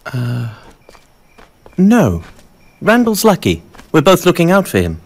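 A man playing an earnest, reserved teenage boy in an animated game cutscene, gentle and measured in manner. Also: fairly high pitch, vocal fry, husky, full character voice. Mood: soft, sincere. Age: young adult.

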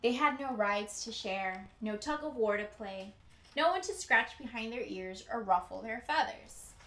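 A young woman reading a picture book aloud as a teacher to children, with deliberate, emphatic storytelling. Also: on the high side, expressive, a bit bright. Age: young adult. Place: indoor room with bookshelves. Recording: roomy indoor echo.

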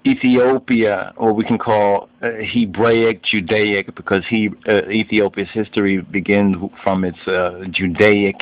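A man narrates an informal lesson on religious history, speaking slowly in a fairly low voice. He is didactic and halting, often restating a term in other words. The recording is dull and lo-fi, like a home-made voice-over. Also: fairly even intonation, dry recording. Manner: didactic, halting delivery, repeats and glosses terms in several languages. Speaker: video narrator and lay religious teacher.

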